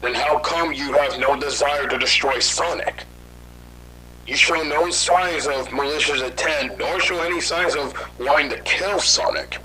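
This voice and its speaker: A man's voice: a little high, unhurried, sharp tone, a little hiss or hum, rough recording. A man playing a bewildered doctor, speaking earnestly and articulately with a questioning manner in a fan audio drama. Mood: puzzled.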